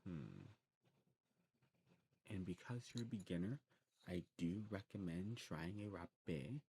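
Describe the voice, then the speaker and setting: A man playing a courteous old demon shopkeeper in an ASMR roleplay, talking to the listener in a genteel way and musing with hums and pauses. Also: sits low, very animated, dark tone, slight background noise, heavily acted. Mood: musing, generous.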